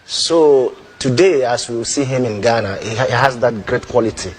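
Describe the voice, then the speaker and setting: A man giving a halting, formal unscripted testimonial as an interviewee. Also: medium pitch, slightly rough, poor audio.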